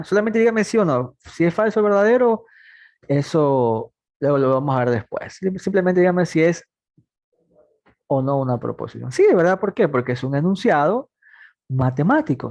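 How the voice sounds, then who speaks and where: A man's voice: fairly high pitch, expressive, moderate pace, darkish tone, dry recording, highs cut off. A man in his twenties teaching a math logic class, patiently asking students questions and then answering them himself. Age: 20s. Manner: repeats points, talks plainly to students. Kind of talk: online class lecture.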